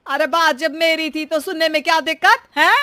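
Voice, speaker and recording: Woman doing a bossy, bright-voiced older mother-in-law. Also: very high voice, normal speed, no echo. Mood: bossy, sly. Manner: bossy, wheedling. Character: demanding, sly older mother-in-law in cartoon story.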